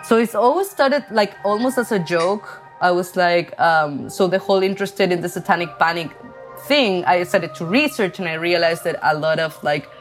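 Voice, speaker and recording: Chilean-accented woman in her forties, low voice, unhurried halting artist interview. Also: soft background music. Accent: Chilean Spanish. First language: Spanish. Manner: halting, many fillers and restarts. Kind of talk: interview monologue about her art.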